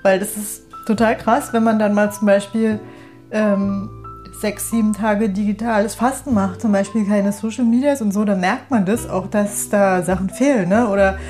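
Female guest, medium pitch, informal spontaneous chat, soft music underneath. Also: steady tone, normal speed. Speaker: guest in a conversation. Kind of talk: casual chat.

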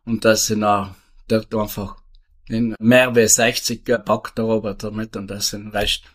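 A man in his seventies with a South Tyrolean German accent, a retired farmer who answers interview questions in a rambling, halting way. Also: lowish voice, flat delivery, fairly bright tone. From South Tyrol, Italy. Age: 70s. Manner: rambling, halting, with many restarts and fillers.

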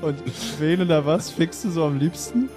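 A man's voice: on the high side, expressive, moderate pace. A man narrating and questioning in an improvised comedy radio play, amused and drawing out his words. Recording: music behind the voice.

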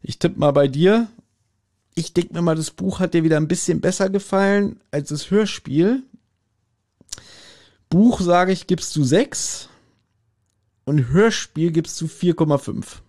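A man's voice: sits high, measured pace, pauses often, a little mellow. A man, chatting casually as a podcast co-host giving his review.

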